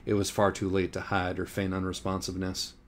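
A man reading a parody novel aloud as dramatic scripted narration, in a solemn deadpan way. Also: low voice, brisk. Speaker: audiobook reader.

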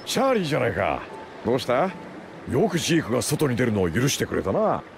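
Casting: knowledgeable, helpful mechanic, anime game, creaky voice, lively intonation, casual air. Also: male voice, mid-range voice, fast, music in the background. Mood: surprised, friendly. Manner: casual, familiar. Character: easygoing, helpful grown man, knowledgeable mechanic. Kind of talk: Japanese anime game voice acting.

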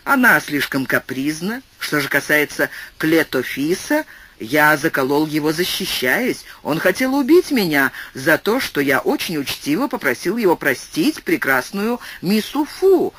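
A man voicing a wise, patient young nobleman who speaks in calm, reasoned pleas, read within a storybook. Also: fairly high pitch, a little slow, breathy edge, no echo, heavily acted.

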